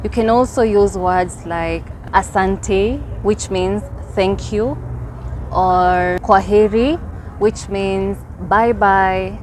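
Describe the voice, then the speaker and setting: Kenyan woman, young, travel vlogger; unhurried, mid-pitched, chatty, outdoor park setting. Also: a little hiss or hum. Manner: upbeat, explanatory, warm welcome to viewers. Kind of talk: casual travel vlog.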